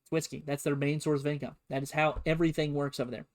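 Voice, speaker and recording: A man's voice: a little high, level tone, quick pace. A man streaming a casual, comedic history lesson, full of sarcastic and playful asides. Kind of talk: casual, comedic history lecture to a livestream audience.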